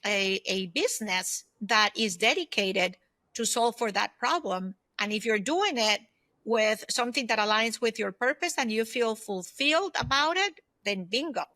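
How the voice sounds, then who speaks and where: A woman's voice: a little high, expressive, moderate pace, sharp tone, dry recording. A woman in her fifties explaining things earnestly as a guest in a conversational interview. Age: 50s. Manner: earnest, explanatory, often checks in with "right?". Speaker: podcast guest, consultant and former aerospace executive.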